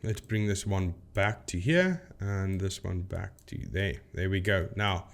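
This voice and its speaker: A man's voice: sits low, measured pace, gravelly. A man hosting a casual explainer video, talking informally to viewers and thinking aloud as he demonstrates. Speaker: video host, paranormal debunker.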